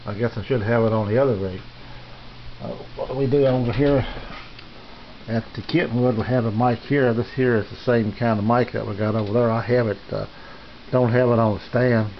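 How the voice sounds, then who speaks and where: Halting, plain-spoken man on lo-fi audio. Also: mid-range voice, steady tone. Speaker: amateur radio hobbyist giving a tour. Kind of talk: casual unscripted equipment tour. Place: small home radio shack, indoors. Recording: dull lo-fi camera audio, faint noise.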